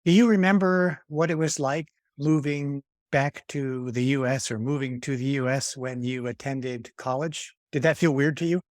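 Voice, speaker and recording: A man in his fifties hosting a podcast, asking questions in a friendly, measured way. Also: medium pitch, steady tone, no echo, clean studio sound. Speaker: podcast host. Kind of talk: hosted interview.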